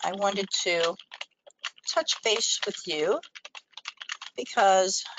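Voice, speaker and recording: A woman's voice: mid-range voice, very slow, noisy background. A woman presenting a training webinar, explaining things step by step in an instructional screen-share style.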